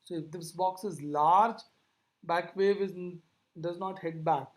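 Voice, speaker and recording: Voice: medium pitch, steady tone, unhurried. A lecturer explaining material in a classroom style, didactically repeating and rephrasing key points. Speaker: physics lecturer.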